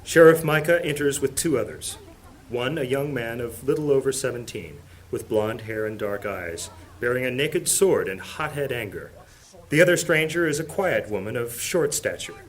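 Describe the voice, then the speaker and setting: A man narrating a fantasy audio drama in a measured, dramatic storytelling style. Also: on the low side, rough recording.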